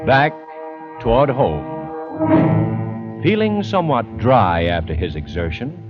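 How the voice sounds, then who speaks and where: A man giving dramatic scripted narration in an old-time radio drama. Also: mid-range voice. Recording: muffled vintage radio recording. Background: music.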